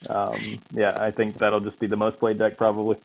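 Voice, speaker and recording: A man's voice: fairly low pitch, monotone, moderate pace, gravelly. A man who is a guest player, chatting casually and laughing easily. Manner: laid-back, laughs often. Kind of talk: casual chat. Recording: tinny phone-like line.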